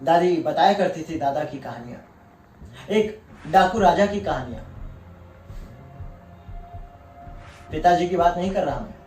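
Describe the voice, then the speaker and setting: A proud, battle-hardened colonel played by a male stage actor delivers a grave theatrical monologue. His voice is fairly high for a man, with weighty, deliberate phrasing and drawn-out emphasis. It is heard from a distance in an echoey room, with faint music behind. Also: lively intonation, a little mellow, lightly acted. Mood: proud, reminiscing. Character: proud, merciless war-veteran colonel presiding over a court martial. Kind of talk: dramatic theatrical Hindi monologue.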